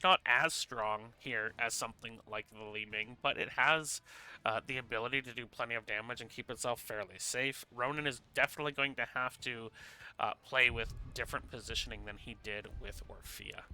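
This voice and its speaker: A man casting a live esports match with play-by-play commentary, sounding congested from a stuffy nose and rising to excited bursts during fights. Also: medium pitch, clean tone. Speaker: esports caster.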